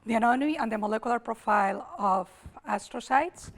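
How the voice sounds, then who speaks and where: A woman with a Spanish accent, hoarse today, giving an academic lecture at a summer school, thinking aloud with restarts and repeated words. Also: fairly high pitch, fairly quick. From Spain.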